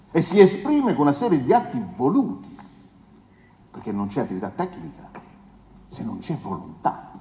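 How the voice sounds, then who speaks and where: Casting: a pompous, insinuating defence lawyer, theatrical oratory, higher-pitched male voice with dramatic swings, in a reverberant hall. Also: warm tone. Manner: pompous, declamatory, rhetorical pauses and questions. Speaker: stage actor. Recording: echoey hall with long reverb.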